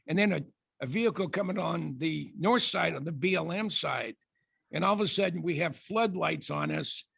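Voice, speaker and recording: Guest speaker, older man, very gravelly and husky voice, dry close sound, halting storyteller. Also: a little high, fairly bright tone, no room sound. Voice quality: raspy, gravelly voice. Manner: halting, many restarts and fillers. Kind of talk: rambling anecdotal presentation.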